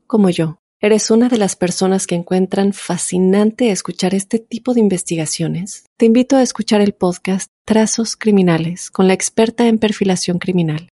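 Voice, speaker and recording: A woman reading a scripted podcast promo in a smooth, inviting way. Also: mid-range voice, normal speed, hardly any pauses, mellow, no echo. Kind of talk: scripted ad read.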